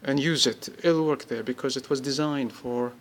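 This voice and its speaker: A man giving an informal, unscripted product demonstration, speaking haltingly in short pieced-together bursts. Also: medium pitch, a bit bright. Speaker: app demonstrator.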